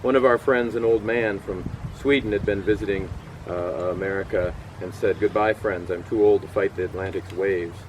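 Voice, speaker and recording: A middle-aged man giving a first-person historical portrayal outdoors, telling his story with frequent pauses and fillers. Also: lowish voice, steady tone, noisy background, natural voice. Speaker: historical portrayer at a cemetery event. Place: outdoors in a cemetery. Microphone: far camera.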